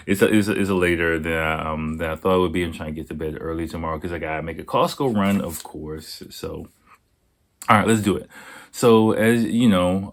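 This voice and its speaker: Deep-voiced, slightly hoarse laid-back man. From United States. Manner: laid-back, profane, rambling. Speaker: podcast host. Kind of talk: casual solo podcast talk. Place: Japan.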